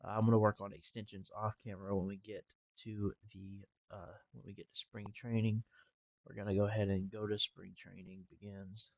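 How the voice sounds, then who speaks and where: A young man talking casually over a game with a congested, stuffy nose and a relaxed, rambling manner. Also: lowish voice, brisk, creaky, dull audio. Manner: relaxed, rambling with filler ums. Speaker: gaming channel host. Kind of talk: casual gameplay commentary.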